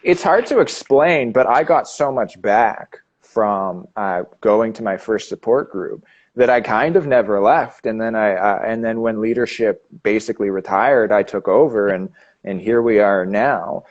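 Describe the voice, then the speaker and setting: A man's voice: fairly low pitch, muffled recording. A man from Canada hosting a live chat, talking casually with frequent restarts. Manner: halting, many restarts and fillers. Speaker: host.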